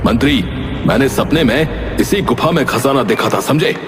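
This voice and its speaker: A man's voice: medium pitch, normal speed, natural voice. A man voicing a foolish, impatient cartoon king with a pompous, scolding, commanding manner. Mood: insistent. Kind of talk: cartoon voice acting. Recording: music behind the voice.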